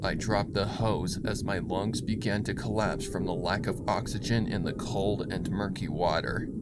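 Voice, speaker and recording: A man reading dramatic scripted horror fiction as narrator, in a solemn, deliberate manner. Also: sits low, steady tone, heavy background noise. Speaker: story narrator. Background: music.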